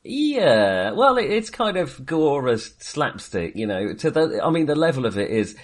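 A man's voice: mid-range voice, sing-song, measured pace. A man co-hosting a casual film discussion, rambling and often correcting himself as he goes. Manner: hesitant, rambling, self-correcting. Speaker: podcast co-host reviewing films.

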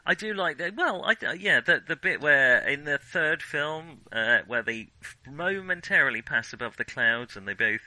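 A man's voice: fairly high pitch, lively intonation, moderate pace, hardly any pauses, pushed and bright, no echo, poor audio. A man co-hosting a casual film discussion, speaking in a hesitant way and often qualifying what he says. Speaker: film discussion podcast co-host.

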